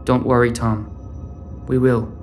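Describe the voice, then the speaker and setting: A stubborn teenage boy with secrets, played by a young man in an audio drama. His voice sits at a medium pitch, over prominent music. He comes across as someone on the run. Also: little pitch movement, slow pace, darkish tone, close to an everyday voice. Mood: evasive, reassuring.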